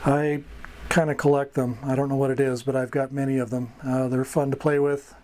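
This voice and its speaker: A middle-aged man giving a casual tutorial as host, speaking haltingly in short phrases with pauses. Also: medium pitch, level tone, darkish tone. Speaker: host of a hobby radio tutorial. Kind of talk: casual whiteboard explainer. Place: small wood-paneled indoor room. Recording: clear background noise, room ambience.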